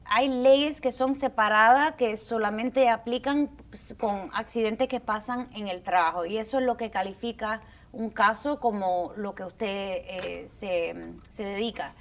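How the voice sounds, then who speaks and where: A woman's voice: mid-range voice, unhurried. Courteous, formal woman host leading a legal interview. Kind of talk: hosting a call-in legal segment.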